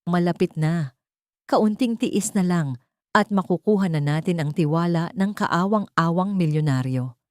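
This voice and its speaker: Woman narrator as sly schemer, audio drama, falsely worried, deferential, hesitant, mellow tone, dry recording. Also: on the low side, unhurried, no room sound, natural voice. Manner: hesitant, polite, falsely anxious.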